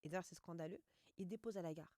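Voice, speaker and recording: A woman's voice: fairly low pitch, no room sound, studio quality. A woman in her thirties, a life coach, telling her story in a chatty, joking interview. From France. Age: 30s. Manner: chatty, joking, quick and animated. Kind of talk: casual conversational interview.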